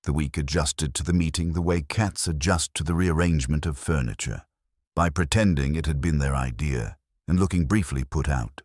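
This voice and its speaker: Very deep-voiced man narrating a mystery. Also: darkish tone, slightly rough, no echo, clean studio sound. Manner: measured, literary, wry. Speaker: solo storyteller. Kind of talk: scripted narration of a genteel mystery story.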